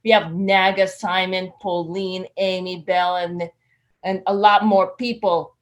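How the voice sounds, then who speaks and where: A woman hosting a live-streamed interview who praises her guest warmly and speaks eagerly to her viewers. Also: fairly low pitch, level tone, unhurried. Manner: enthusiastic, effusive. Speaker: interview host.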